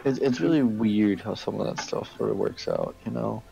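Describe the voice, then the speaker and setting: Low, creaky-voiced man chatting casually. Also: dark tone. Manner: rambling, halting explanations. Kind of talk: casual chat. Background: faint music.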